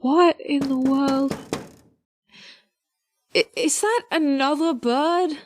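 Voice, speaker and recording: A woman's voice: high-pitched, slow pace, a little hiss or hum. A woman playing a nerdy, curious, snarky teenage inventor in an audio drama. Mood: startled.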